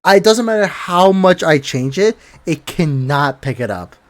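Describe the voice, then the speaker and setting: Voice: medium pitch, expressive, normal speed. A young podcast host chatting over a voice call from their bedroom, swearing casually and laughing hard. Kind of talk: casual chat. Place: own bedroom. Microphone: computer mic over a voice call.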